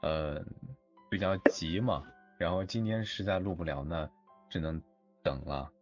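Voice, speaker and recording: Low-voiced man, hesitant talker. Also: muffled recording, faint music behind. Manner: hesitant, halting. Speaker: voice actor in an audio drama. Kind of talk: casual group chat.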